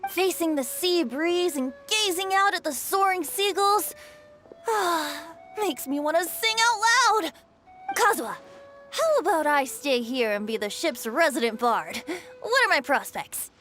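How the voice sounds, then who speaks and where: A woman's voice: extremely high pitch, expressive, measured pace, a bit bright, faint music behind, exaggerated voice. A woman voicing a carefree boyish bard in a video game, playful, theatrical and wheedling. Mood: exhilarated.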